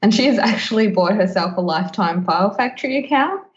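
A woman's voice: medium pitch, animated, fast talker. A woman talking frankly and emphatically as a podcast host in a casual chat, slightly muffled.

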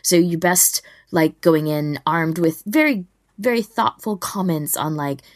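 A woman's voice: lowish voice, unhurried, dry recording. A woman co-host talking casually on a podcast.

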